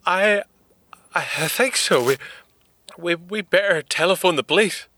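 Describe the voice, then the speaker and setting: A man's voice: high-pitched, measured pace, pushed and bright, no room sound, full character voice. An actor playing an earnest, polite, easily flustered young Scottish inventor in his twenties, in a 1930s-set audio drama. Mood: shocked, flustered. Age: 20s. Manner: courteous, old-fashioned, hesitant wording. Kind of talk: full-cast audio drama acting.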